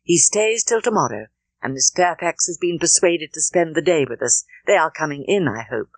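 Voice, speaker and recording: A woman with a British Received Pronunciation accent voicing a genial, welcoming married gentlewoman in an audiobook, politely conversational. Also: low voice, lively intonation, normal speed, no echo. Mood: pleased, expectant. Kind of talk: audiobook character reading.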